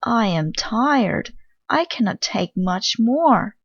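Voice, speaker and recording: A woman's voice: on the high side, expressive, takes it slowly, gravelly, husky, dry recording, muffled recording, pitched above the performer's own voice, exaggerated voice. A woman doing a tiny, weary mouse. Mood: pleading. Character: tiny tired male mouse, pleading.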